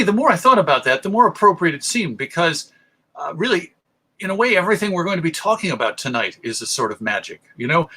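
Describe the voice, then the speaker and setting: Bright, higher-pitched American man presenting. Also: husky. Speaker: presenter, radio show host. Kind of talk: informal public lecture. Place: remote online presentation.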